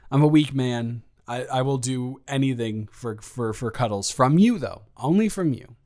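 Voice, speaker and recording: A young man playing an awkward, eager, rambling ex-boyfriend in an improvised romantic roleplay audio, stammering and halting as he talks. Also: mid-range voice, expressive, a little mellow, lightly acted. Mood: tender, shy.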